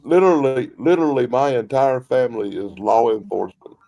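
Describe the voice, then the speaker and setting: Gravelly man in his fifties, stammering. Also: mid-range voice, a little fast, warm tone, slight background noise, rough recording. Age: 50s. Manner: stammering repeats, blunt. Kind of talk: casual chat.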